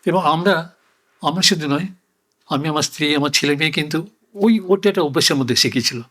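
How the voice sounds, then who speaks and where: An older man reflecting on his life in conversation, speaking deliberately and repeating phrases for emphasis. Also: a little high, sharp tone. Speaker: interview guest. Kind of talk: reflective oral-history conversation.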